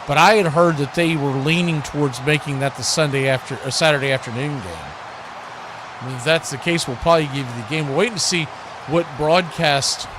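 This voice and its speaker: A man's voice: on the high side, moderate pace. A man hosting a live football watch party, giving play-by-play in a folksy, conversational way. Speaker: play-by-play host. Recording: steady background noise.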